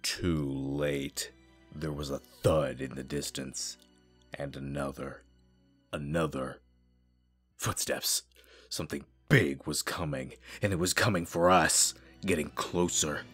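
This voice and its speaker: A man's voice: very deep voice, takes it slowly, fairly bright tone, breathy edge, faint music behind, heavily acted. A man playing a grim, wry space marine who narrates his battles in a sardonic, hard-boiled audio drama style. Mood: grave, ominous. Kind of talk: scripted first-person audio drama narration.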